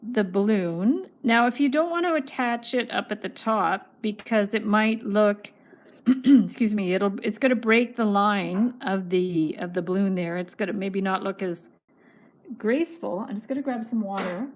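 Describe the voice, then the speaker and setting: A woman's voice: medium pitch, measured pace. A woman hosting a casual craft livestream, chatting as she reads viewer comments, her voice starting to go. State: losing her voice.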